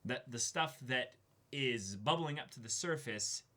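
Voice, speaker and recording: A young man co-hosting a show, chatting casually and reading aloud with halting, thoughtful phrasing. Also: medium pitch, expressive, bright, forceful tone. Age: young adult. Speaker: co-host of an unboxing show. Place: small indoor room.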